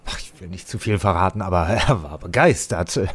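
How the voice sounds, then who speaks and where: An actor playing a nervous, evasive young radio playwright who stammers and hesitates, in a German audio drama. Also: male voice, deep voice, brisk, husky. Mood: eager. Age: young adult.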